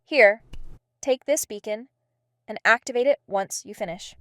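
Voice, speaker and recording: Radio drama intelligence boss, female actor: highish smooth voice, direct, probing, composed. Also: unhurried, fairly bright tone, dry recording, clean studio sound. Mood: businesslike, testing. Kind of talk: amateur radio drama acting.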